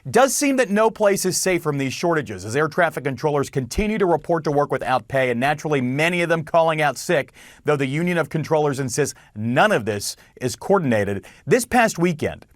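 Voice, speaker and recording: A man's voice: on the high side, sing-song, brisk, no echo, clean studio sound. An American man reporting as a television news correspondent in a broadcast style.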